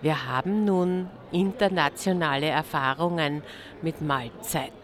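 Woman interviewer, lower voice, unhurried and friendly.

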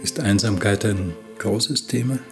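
A low-voiced ship's diarist. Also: male voice, measured pace, over loud music. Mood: reflective. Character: observant novice galley hand keeping a voyage diary. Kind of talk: radio play narration, diary entries.

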